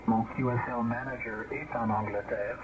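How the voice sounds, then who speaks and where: A man's voice: medium pitch, fairly even intonation, normal speed. A man making an amateur radio contact over shortwave, repeating key words and figures for clarity. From born in England. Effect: shortwave radio transmission. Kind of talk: amateur radio contact, exchanging signal reports. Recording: muffled band-limited shortwave radio, loud static. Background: loud radio noise.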